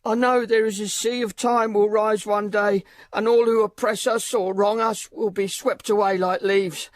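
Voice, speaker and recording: A man's voice: high-pitched, flat delivery, moderate pace, no room sound, natural voice. An actor playing a tender-hearted old working father, emotional and exclamatory, in a radio drama. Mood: fervent, grateful. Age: older. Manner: emotional, exclamatory, repeats phrases.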